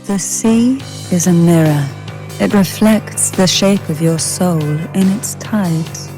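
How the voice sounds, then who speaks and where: A mysterious, languid woman of the sea for a video game. Her voice sits fairly low and moves extremely slowly, with soft music behind it. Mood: dreamy, enigmatic. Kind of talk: scripted video game character line.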